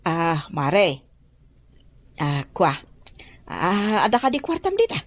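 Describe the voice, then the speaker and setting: A woman's voice: lowish voice, big pitch swings, slow pace, no echo, exaggerated voice. An actress in a radio drama playing a pushy middle-aged village wife who talks neighbors into lending her money, chatty and wheedling.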